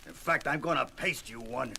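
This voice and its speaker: An actor playing a gruff, hot-tempered, wisecracking pilot turned monster in a 1970s superhero audio drama, with streetwise slangy talk. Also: male voice, mid-range voice, normal speed, heavily acted. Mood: furious, threatening. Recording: vintage record with loud music behind.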